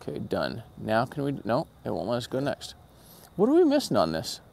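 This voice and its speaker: A gravelly-voiced man in his forties, chatty and upbeat, hosts a casual livestream. He talks into a phone held at arm's length outdoors at night, and faint background noise runs under his voice. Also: mid-range voice, wildly swooping pitch, brisk, a little mellow. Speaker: channel host doing a livestream. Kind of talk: casual livestream chat with viewers. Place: outdoor parking lot at night.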